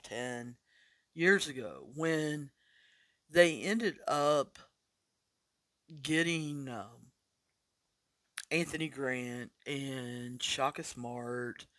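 A man's voice: medium pitch, a bit bright, dry recording, clean studio sound. A man hosting a sports betting podcast in a casual, chatty way while recovering from losing his voice. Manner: casual, chatty, deliberate picks. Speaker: podcast host.

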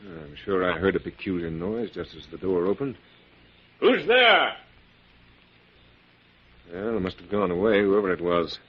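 An actor playing an imperious, murderous mad professor in a theatrical, commanding 1940s radio style. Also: male voice, deep voice, wildly swooping pitch, measured pace, gravelly, pauses often, slightly rough, full character voice. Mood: suspicious, commanding. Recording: muffled, noisy 1940s radio recording.

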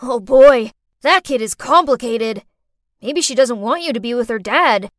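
A woman's voice: on the high side, expressive, unhurried, dry recording, clean studio sound. A woman voicing a male friend who gives frank, emphatic advice. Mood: concerned.

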